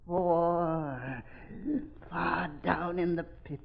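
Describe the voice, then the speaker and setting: An actor playing a crazed, villainous doctor in a hysterical, menacing 1930s radio thriller style. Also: male voice, a little high, fairly even intonation, extremely slow, gravelly, exaggerated voice. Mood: eerie dread. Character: crazed villainous doctor, a murderer losing his mind. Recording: dull vintage 1930s radio recording.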